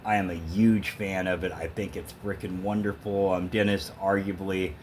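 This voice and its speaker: A man's voice: deep voice, little pitch movement, normal speed, slight background noise. A man talking casually and emphatically to the camera as an opinionated music fan, in a rambling vlog style. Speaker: vlogger showing a thrift store music haul.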